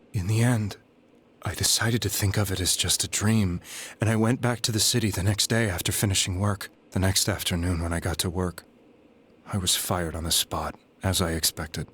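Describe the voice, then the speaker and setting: An actor playing a despondent, guilt-ridden young man in a video game, telling his story in a grave, halting way. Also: lowish voice, fairly bright tone, husky. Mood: grave, subdued. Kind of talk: dramatic video game voice acting, confessional monologue. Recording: background music under the voice.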